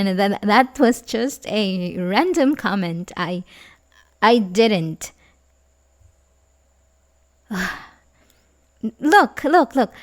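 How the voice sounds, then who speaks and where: A woman's voice: on the high side, darkish tone. A woman playing an obsessive, manipulative young babysitter who feigns sympathy, in an intimate, hesitant ASMR roleplay style. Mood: flustered, defensive. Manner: hesitant, stammering, nervous chuckles, sighs. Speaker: audio roleplay performer.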